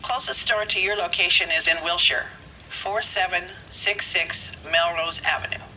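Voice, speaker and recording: A woman's voice: fairly low pitch, fairly even intonation, moderate pace. A woman playing a polite, efficient police telephone operator in a video game drama, heard through a telephone filter. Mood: helpful, brisk. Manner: polite, businesslike. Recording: dull, slightly noisy telephone line.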